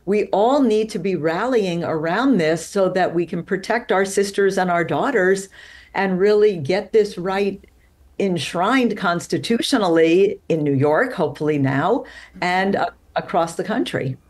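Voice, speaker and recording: A woman's voice: lowish voice, a little slow, darkish tone. A woman representing an advocacy group on a live panel over a video call from her living room, earnest and rallying.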